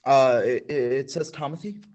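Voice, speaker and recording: A man playing a nervous male field reporter in a school radio play, halting and full of fillers. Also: medium pitch, darkish tone, lightly acted. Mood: flustered.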